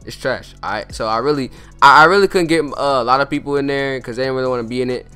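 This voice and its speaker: A man's voice: medium pitch, quick pace, smooth, soft background music. A teenage boy hosting a casual interview vlog in a school cafeteria, talking loosely with lots of fillers and slang. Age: teens. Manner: laid-back slangy chatter with lots of fillers. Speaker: vlogger interviewing classmates. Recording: noisy crowded room on a phone. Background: loud crowd chatter.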